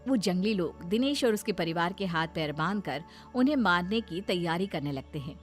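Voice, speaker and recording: A woman's voice: on the low side, lively intonation, normal speed, soft background music. A woman reading scripted narration as a storyteller.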